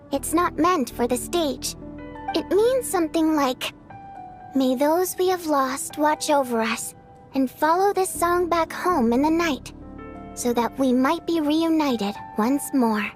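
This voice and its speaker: High-voiced, soft-hearted young songstress. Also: female voice. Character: soft-hearted young songstress honoring her people's ritual song. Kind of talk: video game cutscene voice acting.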